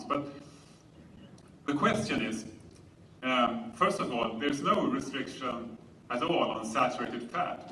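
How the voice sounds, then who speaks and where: A man with a Swedish accent presents to an audience in a bright, clear-cut tone. His delivery is measured and explanatory, like a conference talk. The sound is roomy and picked up from a distance, with a little noise. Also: mid-range voice. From Sweden. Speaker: conference speaker. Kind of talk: public presentation lecture.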